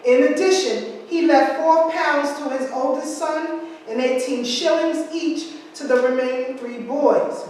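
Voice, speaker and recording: High-voiced woman lecturing in an echoey hall. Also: recorded from a distance, a little hiss or hum. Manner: asides and rhetorical questions to audience. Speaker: history speaker. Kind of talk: lecture reading from slides. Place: large room with hard walls. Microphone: far camera.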